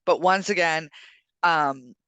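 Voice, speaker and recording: A woman speaks with a bright, very clean voice in a dry, close sound. She is a co-host chatting casually, opinionated and emphatic, building her case one point at a time. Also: deep voice, takes it slowly, clean tone, dry recording. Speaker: co-host of a weekend current affairs show.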